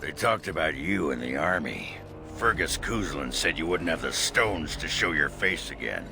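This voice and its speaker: A man playing a crude, reckless dwarf warrior in a video game, brash and gruff with a gravelly voice. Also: a bass voice, expressive, moderate pace, exaggerated voice. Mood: gruff bravado. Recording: music behind the voice.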